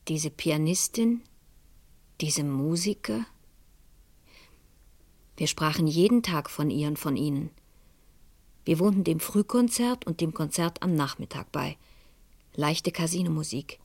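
An actress playing a grave, anxious middle-aged lady in a radio play, speaking in a measured, formal and brooding way. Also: deep voice, expressive, darkish tone, no echo, full character voice. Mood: reflective. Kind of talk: 1970s German radio play acting, part narrated monologue.

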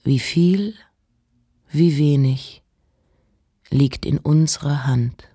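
A woman giving a literary reading of poems and letters with measured, deliberate phrasing. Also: low voice, mellow, breathy edge.

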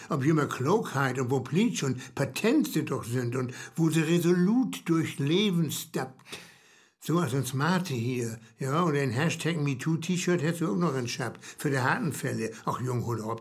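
Man acting a high-voiced, needling buddy. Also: expressive, moderate pace, natural voice. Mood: mocking. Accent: Northern German. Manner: teasing, blunt. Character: mocking, needling male buddy who teases his earnest friend. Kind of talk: conversational Low German radio comedy acting.

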